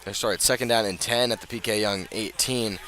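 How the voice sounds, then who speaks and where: Bright-voiced male football play-by-play announcer. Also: on the low side. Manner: builds from measured narration to raised, excited calls. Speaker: play-by-play sports commentator. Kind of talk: live American football radio commentary. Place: outdoors at a high school football field. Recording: noisy outdoor stadium, live broadcast.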